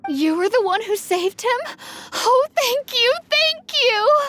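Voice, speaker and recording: A woman playing an anxious, gentle village mother in a video game, earnest and polite. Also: very high voice, expressive, slow pace, dry recording, exaggerated voice. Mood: grateful.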